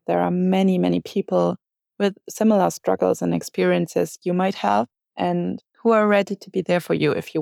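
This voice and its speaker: A young woman speaks sincerely. Her voice is full of fry, and the recording is dry. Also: lowish voice, a little mellow, no room sound. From Germany. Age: 20s. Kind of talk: recorded personal message.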